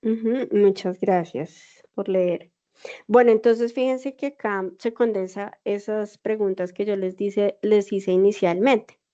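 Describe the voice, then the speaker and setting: A woman's voice: medium pitch, warm tone. A woman teacher with a Bogotá accent explaining an assignment step by step in an online class, patiently checking that students follow. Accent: Bogotá Spanish. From Colombia. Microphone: video call. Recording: dull lo-fi video call audio.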